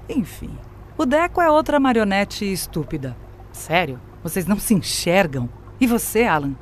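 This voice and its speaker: A grandiose female AI addresses her creator in an audio drama. Her voice is lowish for a woman but swings widely, mocking and commanding. Faint ambience sits behind it. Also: slight background noise. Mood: contemptuous. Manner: mocking, domineering, theatrical. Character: scornful, grandiose female artificial intelligence turning on her creator.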